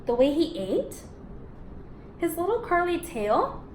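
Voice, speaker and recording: A woman teaching young children in a read-aloud lesson, encouraging and expressive, asking questions and confirming answers. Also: very high-pitched, takes it slowly. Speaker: elementary school teacher. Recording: echoey room with background noise.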